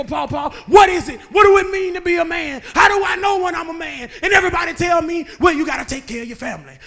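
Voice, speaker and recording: A man, preaching a sermon that moves between joking talk and shouted calls to the men. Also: extremely high pitch. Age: 30s. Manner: conversational preaching that builds to shouting, with laughs and rhetorical questions. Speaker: guest preacher. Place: church sanctuary.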